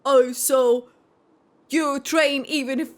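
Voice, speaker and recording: A woman game streamer giving casual reaction commentary, halting as she thinks aloud. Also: sits high, fairly even intonation. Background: game music.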